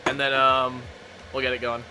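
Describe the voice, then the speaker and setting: A man's voice: medium pitch, monotone, normal speed, background noise. A man streaming a casual chat, rambling to his viewers while making coffee. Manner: chatty, rambling, talks to chat.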